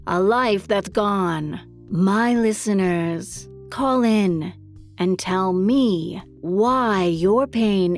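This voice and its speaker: Woman acting slow, melancholy radio hostess. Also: medium pitch, darkish tone. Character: melancholy, probing female late-night radio host. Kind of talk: theatrical radio monologue in noir drama.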